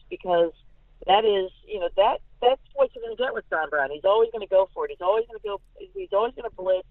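Tinny phone audio, female sports reporter, casual football talk, halting delivery with repeated words, dry sound. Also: fairly low pitch, no echo. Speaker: sportswriter guest. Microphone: phone call. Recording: narrow, tinny phone line.

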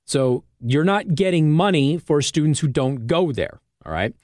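A man's voice: on the high side, expressive, unhurried, darkish tone, no room sound. American man hosting news talk radio, dry sound. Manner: explanatory, checks in with "okay?" and "all right?". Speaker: radio talk show host. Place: radio studio. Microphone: close studio microphone.

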